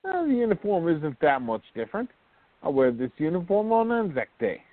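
An actor playing a friendly, boyish Australian soldier in a radio play, on a thin recording. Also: male voice, fairly high pitch, huge pitch swings, moderate pace, no echo. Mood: friendly, proud. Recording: muffled, thin phone-like audio.

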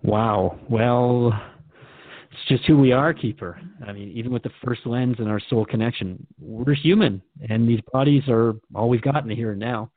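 A man's voice: mid-range voice, normal speed, dry recording. A man hosting a radio drama interview in a thoughtful, courteous manner. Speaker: radio show host. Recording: dry, narrow phone-like band.

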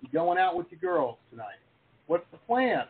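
A man in casual host mode, teasing and chatty. His voice sits a little high and swings widely in pitch, with a slightly husky edge. The audio is thin and phone-like. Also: wildly swooping pitch, moderate pace. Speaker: podcast host. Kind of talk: casual interview chat. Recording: tinny phone-like call audio.

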